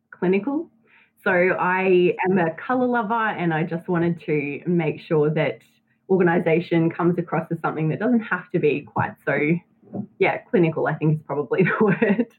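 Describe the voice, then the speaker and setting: A woman's voice: lowish voice, normal speed, slightly rough. A woman in her thirties with an Australian accent, chatting as an interview guest and laughing often. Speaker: guest, business owner. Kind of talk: casual interview. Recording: tinny phone-like line.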